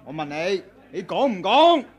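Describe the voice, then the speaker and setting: A snorting, hot-headed thug. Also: male voice, high voice, big pitch swings, a little slow, a faint crowd. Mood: bullying. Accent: Hong Kong Cantonese. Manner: gruff, snorting, barking threats. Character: brash, hot-tempered male martial-arts thug. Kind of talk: 1980s radio drama acting.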